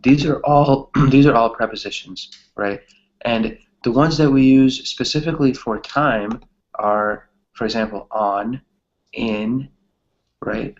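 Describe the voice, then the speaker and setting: A man's voice: medium pitch, dull audio. A man teaching a conversational English grammar class, often checking in with a questioning tag as he explains.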